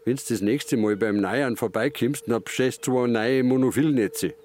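An actor playing a stubborn, gruff old fisherman father with a Bavarian accent, curt and blunt, in a radio drama. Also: mid-range voice, moderate pace, a little mellow, a little hiss or hum, lightly acted. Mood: matter-of-fact.

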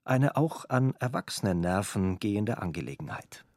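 A man's voice: lowish voice, sing-song, moderate pace, a little mellow, no echo, studio quality. A man reading a written press report aloud from a script. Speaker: reader of a press article. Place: dry studio.

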